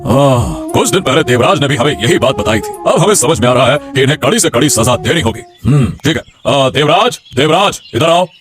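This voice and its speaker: A man's voice: medium pitch, lively intonation, fairly quick, hardly any pauses, over music, natural voice. A man voicing an authoritative, fatherly king in a cartoon moral story, with a commanding manner. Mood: stern.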